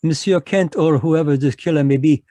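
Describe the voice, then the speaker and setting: An actor playing a fussy, courtly Belgian detective, in a live radio drama over a video call. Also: male voice, a little high, normal speed, mellow, no echo, natural voice. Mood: smug, courtly. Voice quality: precise, courtly French-inflected delivery. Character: fussy male Belgian detective, polite and sly.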